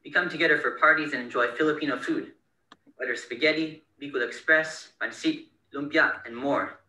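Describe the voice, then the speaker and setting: A teenage boy from California reading a prepared scholarship essay in a steady, earnest way. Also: on the high side, moderate pace. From California, United States. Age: teens. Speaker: scholarship winner. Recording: bright, roomy, distant recording.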